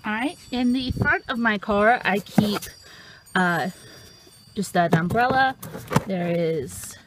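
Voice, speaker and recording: A woman's voice: medium pitch, slow, very noisy. A young woman vlogging outdoors beside her car's open trunk, chatting casually with many ums and restarts, recorded on a camera close in front. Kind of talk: casual show-and-tell vlog. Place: outdoors by an open car trunk on a sunny street.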